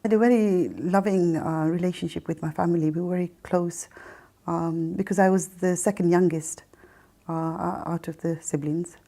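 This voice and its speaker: A middle-aged woman recounts her life in a reflective interview testimony. Her voice is mellow and slightly low. She speaks haltingly, often pausing partway through a phrase to find the next one. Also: fairly even intonation. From Kenya, raised in Mombasa and then England. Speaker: interview guest telling her life story. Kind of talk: personal testimony, reflective recollection.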